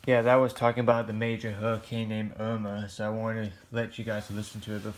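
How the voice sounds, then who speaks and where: Low-pitched man, very even delivery. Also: fast. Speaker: hobbyist video host. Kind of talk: casual product review to camera.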